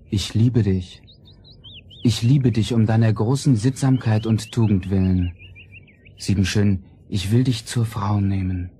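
A man's voice: on the low side, mellow, a little hiss or hum. A man playing an earnest, ardent young noble prince in a fairy-tale audio play, with solemn declamatory delivery. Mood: ardent, tender. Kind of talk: vintage fairy-tale radio play acting.

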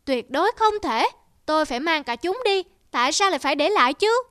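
A woman's voice: high voice, animated, fairly quick, fairly bright tone, no room sound, clean studio sound. A woman voicing a stubborn, proud young wolf in a cartoon fable, indignant and declamatory.

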